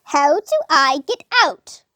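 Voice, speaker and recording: A woman playing a naive, prim, indignant low-ranking angel in a comic puppet show, earnest and easily flustered. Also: lively intonation, slow, sharp tone, no echo, studio quality, heavily acted. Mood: alarmed, flustered.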